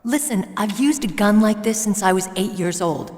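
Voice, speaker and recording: Young female soldier for a game, played by an actress; earnest, measured, mid-pitched, in a reverberant hall. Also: lively intonation, darkish tone, lightly acted. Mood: firm, matter-of-fact. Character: young female soldier, earnest, self-doubting yet determined. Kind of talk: video game voice acting.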